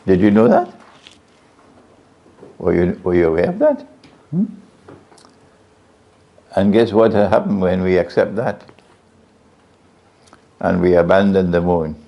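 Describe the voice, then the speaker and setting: A man's voice: low voice, very animated, slow pace, vocal fry, long pauses, dark tone. A male Islamic preacher giving a religious lecture to a live audience, questioning the listeners and repeating key phrases. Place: lecture venue. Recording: dull lo-fi lecture recording.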